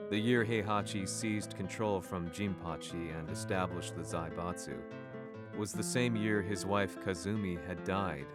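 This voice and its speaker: An actor playing a brooding investigator who narrates a video game story in a measured, grave way. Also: male voice, low voice. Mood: grave, intrigued. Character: brooding male investigator recounting his obsessive search. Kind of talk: scripted dramatic narration. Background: loud plucked guitar music.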